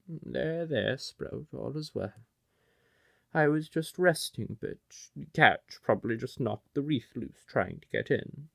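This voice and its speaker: A man voicing a fond, croaky green hag mother in a fantasy storybook. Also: medium pitch, lively intonation, measured pace, a bit bright, dry recording, clean studio sound, pitched above the performer's own voice, heavily acted. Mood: soothing, fond. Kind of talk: fantasy storybook character acting.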